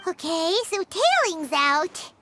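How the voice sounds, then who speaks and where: Tiny curious game fairy, extremely high squeaky voice, animated swoops, eager questions, music behind. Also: female voice, slow pace, exaggerated voice. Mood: puzzled. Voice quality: squeaky, childlike. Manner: refers to self in third person, eager questioning. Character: small childlike floating fairy companion, chirpy and curious. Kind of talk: video game voice acting.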